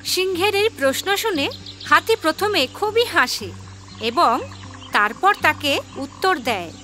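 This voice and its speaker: A woman's voice: very high-pitched, lively intonation, measured pace, bright, forceful tone, slight background noise. A woman narrating a scripted children's story as the storyteller.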